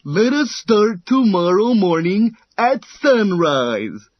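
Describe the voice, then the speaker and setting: A man voicing a slow, calm, determined tortoise in a children's storybook, with deliberate, drawn-out, unhurried speech. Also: sits high, breathy edge, dry recording, highs cut off, exaggerated voice. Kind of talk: children's storybook character acting.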